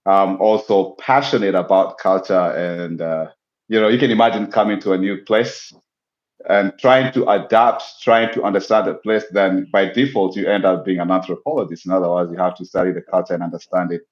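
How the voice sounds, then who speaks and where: A man with a low voice talks in a measured, reflective way. He sounds like he is on a remote call, with an echoey room and dull, lo-fi audio. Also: steady tone. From Kenya. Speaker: guest, business owner. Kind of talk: casual interview talk.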